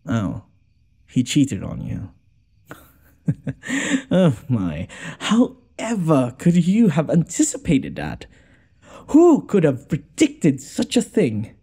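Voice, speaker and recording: A man's voice: on the high side, wildly swooping pitch, slow, long pauses, mellow, slightly rough, heavily acted. A man playing a haughty, wounded noble lord in an audio roleplay, with a theatrical, sarcastic and exasperated delivery. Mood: mocking. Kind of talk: scripted audio roleplay addressed to the listener.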